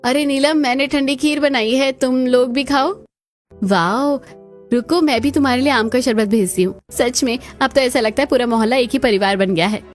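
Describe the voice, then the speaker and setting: A woman playing put-upon, chatty daughters-in-law in a cartoon story, with colloquial, expressive delivery. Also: high voice, normal speed, soft background music, close to an everyday voice. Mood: friendly.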